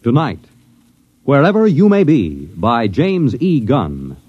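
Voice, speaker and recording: A man announcing a vintage science fiction radio show in a dramatic, measured, portentous manner. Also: mid-range voice, huge pitch swings. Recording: muffled vintage radio recording. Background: dramatic music.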